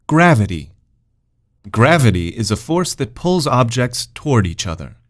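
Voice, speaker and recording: A man's voice: medium pitch, very animated, unhurried. A man giving scripted educational narration, reading out vocabulary words and example sentences.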